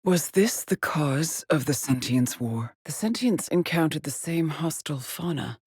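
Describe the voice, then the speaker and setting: A haunted lone female space explorer from a sci-fi video game, speaks in a low, husky voice. She is measured and solemn, weary yet determined, and often breathy, as if logging her findings alone. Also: lively intonation, a little mellow, no echo, clean studio sound, exaggerated voice. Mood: terse, focused. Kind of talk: dramatic game voice lines, short log entries.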